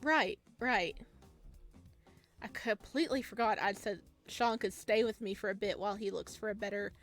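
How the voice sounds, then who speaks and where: A woman reading a visual novel aloud as a let's player, casual and expressive, with jokey commentary. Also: mid-range voice, normal speed, faint music behind.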